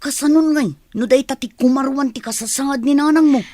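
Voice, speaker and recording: Woman acting an anxious, high-voiced confidante. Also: brisk, dark tone, no echo, close to an everyday voice. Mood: worried. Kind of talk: radio drama acting.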